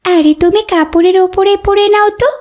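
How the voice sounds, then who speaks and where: A woman's voice: very high-pitched, fairly even intonation, normal speed, a little mellow. A woman voicing a kindly, devout young schoolgirl in a cartoon, sincere and encouraging. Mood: kindly, reassuring. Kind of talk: cartoon dialogue acting.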